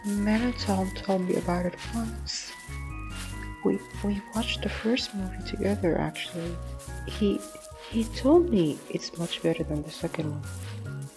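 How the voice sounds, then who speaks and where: A woman's voice: mid-range voice, unhurried, lightly acted. A woman playing an anxious, rambling nerd in an audio drama, stammering and apologetic. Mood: flustered, apologetic. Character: shy, anxious, rambling nerd, eager to please. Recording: music playing behind the voice.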